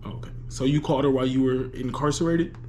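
Male prank caller, mid-pitched, polite bureaucratic delivery, halting pauses, faint background noise. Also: fairly even intonation, mellow. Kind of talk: prank phone call posing as a parole officer.

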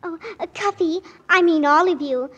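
A woman's voice: extremely high pitch, moderate pace, a bit bright, lo-fi sound. A female performer playing a polite, sweet little aristocratic English girl in 1940s radio style. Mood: polite, eager.

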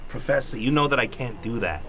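A man's voice: medium pitch, lively intonation, a little fast, bright, forceful tone. A man playing an earnest, easily flustered police officer in an improvised radio comedy, hesitant and stammering. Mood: defensive. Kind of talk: improvised old-time radio comedy acting.

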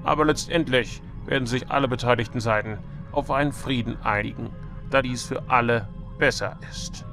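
A man's voice: medium pitch, moderate pace, natural voice. Man as stern space admiral over music. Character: older male space admiral, stern, sceptical, commanding.

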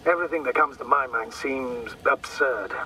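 An actor playing an analytical male mission commander in a space game, speaking formally and deliberately over a radio. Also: medium pitch, sharp tone. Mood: formal, probing. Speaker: astrogator, superior officer. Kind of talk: dramatic game voice acting. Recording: slightly noisy, distant radio link.

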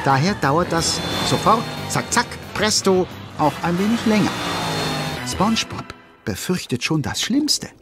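A man's voice: sits high, sing-song, a little slow, over loud music. A man playing a wry, playful narrator who addresses listeners in a German children's audio drama. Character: wry, chatty male storyteller.

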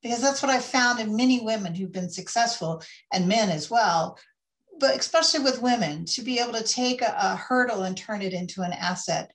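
A woman's voice: a little high, brisk, rough recording. A woman moderating a panel, encouraging the guests and summing up their points before asking the next question. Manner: encouraging, sums up the speakers' points.

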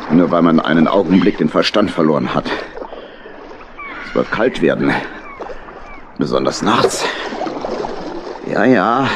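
A man's voice: low voice, noisy background, heavily acted. A man playing a remorseful, worried badger uncle in a children's audio play, sorrowful and sighing. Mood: resigned, determined. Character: grown male badger uncle, remorseful, worried, good-hearted.

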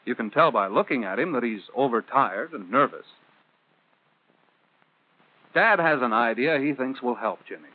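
A man's voice: mid-range voice, lively intonation, normal speed, pauses often. An American man narrating a 1950s instructional film, speaking in simple, conversational phrases as if directly to a child. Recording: muffled telephone-like old film soundtrack.

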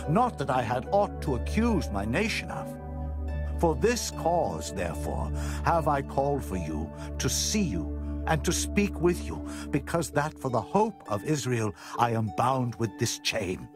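An actor playing an earnest captive apostle pleading his case in dramatized Bible audio, speaking solemnly and deliberately. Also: medium pitch, big pitch swings, music in the background.

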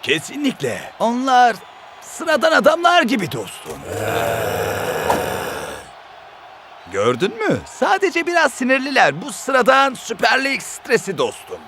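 A man's voice: very high voice, huge pitch swings, moderate pace, heavily acted. A male actor playing a slangy, jittery surfer-dude footballer in a cartoon dub, given to complaining. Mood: reassuring. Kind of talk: animated cartoon dub acting. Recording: music behind the voice.

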